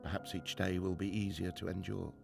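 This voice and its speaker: Radio drama lead: male actor as grieving, driven middle-aged professor, formal 1920s manner, low gravelly voice. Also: flat delivery, over music. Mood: sorrowful, solemn. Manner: formal, earnest, halting when troubled. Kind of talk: radio drama acting with diary narration.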